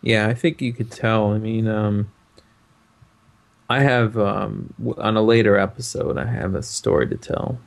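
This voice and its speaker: A man's voice: on the low side, a little slow, gravelly. A man co-hosting a podcast, musing in casual chat with a hesitant, thoughtful delivery. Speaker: podcast co-host.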